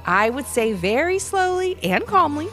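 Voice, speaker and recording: A kindly, knowing ice cream man from a children's storybook, voiced by a woman reading aloud. He speaks calmly and a little slowly, with a light, lilting voice. Soft music sits underneath. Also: high voice, pitched above the performer's own voice, full character voice.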